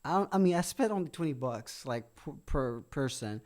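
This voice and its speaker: A man's voice: mid-range voice, expressive, normal speed, darkish tone. A man in his thirties talking casually as a guest, rambling with lots of fillers and frequent laughs. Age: 30s. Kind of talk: casual chat.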